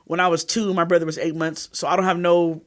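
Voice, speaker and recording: A woman's voice: fairly low pitch, flat delivery, fast talker. A woman in her thirties from California, talking frankly and at length as an interview guest. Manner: frank and rambling, leans on "you know what I mean". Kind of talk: candid interview conversation.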